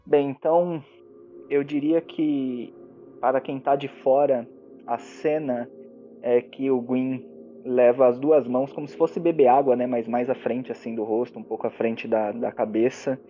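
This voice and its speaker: A man's voice: mid-range voice, fairly even intonation, a little slow, highs cut off. A man with a Brazilian accent describing his character's actions as a player in a tabletop game. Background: background music.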